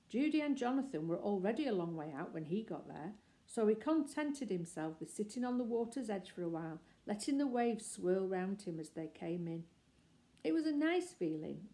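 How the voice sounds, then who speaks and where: Woman narrator, mellow warm tone, gentle expressive children's storybook reading. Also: fairly low pitch. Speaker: storybook reader. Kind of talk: reading a children's chapter book aloud.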